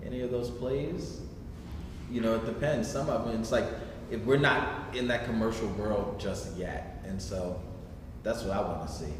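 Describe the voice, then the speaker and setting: A man's voice: fairly low pitch, moderate pace. Man hosting in a cavernous, echoey hall. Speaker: host of a staged reading discussion. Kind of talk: informal post-show question and answer. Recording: echoey noisy hall, distant mic.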